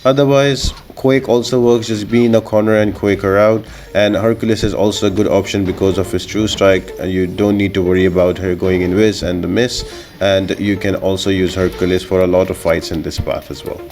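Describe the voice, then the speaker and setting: A man's voice: sits low, steady tone, brisk, darkish tone. A man giving informal, instructional commentary as the host of a video game guide. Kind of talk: gameplay tips commentary, explanatory. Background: music.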